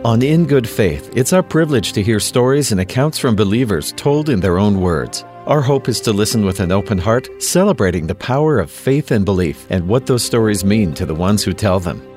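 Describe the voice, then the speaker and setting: Calm male announcer over faint music. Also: lowish voice, a little fast, few pauses. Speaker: show announcer. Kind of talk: scripted show introduction. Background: faint instrumental music.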